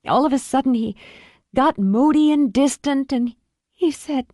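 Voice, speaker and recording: A woman's voice: measured pace, dark tone, dry recording, studio quality. A woman doing a weeping, distraught young mother. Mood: anguished. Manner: breathless, pleading, voice breaking high. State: tearful.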